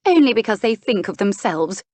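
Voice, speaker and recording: A woman's voice: mid-range voice, very animated, a little fast, a little mellow, no echo, voice pushed up, lightly acted. A woman narrator performs an overwrought, scornful duchess from a historical romance. Her voice sits raised and emphatic, full of indignant drama, in a dry, close recording. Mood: indignant, distressed. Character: haughty, overwrought duchess, indignant mother of a grown son. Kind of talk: historical romance audiobook character.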